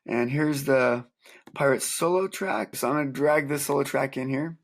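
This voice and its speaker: Soft-voiced man with a gravelly husky tone. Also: mid-range voice, normal speed. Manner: calm step-by-step explaining, counting aloud. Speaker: music teacher. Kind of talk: screen-recorded software tutorial.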